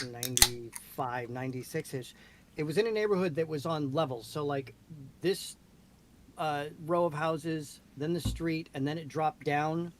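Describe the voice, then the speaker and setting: A man's voice: a little high, a little hiss or hum. A man telling anecdotes in casual conversation, stressing his points emphatically.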